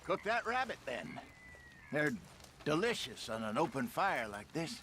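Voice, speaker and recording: An actor playing a seasoned, patient older American outlaw in a video game, with a measured, folksy, wry manner. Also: male voice, on the high side, sing-song, bright, forceful tone, slight background noise, exaggerated voice. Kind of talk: dramatic video game acting. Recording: game music behind the voice.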